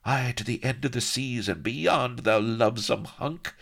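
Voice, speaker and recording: A man's voice: mid-range voice, a little slow, vocal fry, a bit bright, pitched above the performer's own voice. A man voicing a sultry, seductive female pirate who croons her lines. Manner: crooning, coaxing.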